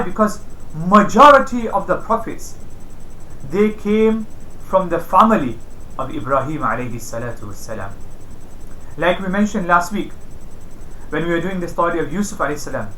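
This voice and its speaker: Male storyteller, religious teacher, explanatory and repetitive, bright forceful tone, roomy echo. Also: high voice. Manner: explanatory, repeats phrases for emphasis. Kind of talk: informal religious storytelling lesson. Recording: roomy echo, faint background noise.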